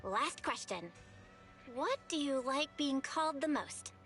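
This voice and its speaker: Very high-pitched anime young woman. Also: animated, a little slow, a bit bright, faint music behind, exaggerated voice. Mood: playful. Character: gentle, teasing, slightly flustered young woman in anime game. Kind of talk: anime game voice acting.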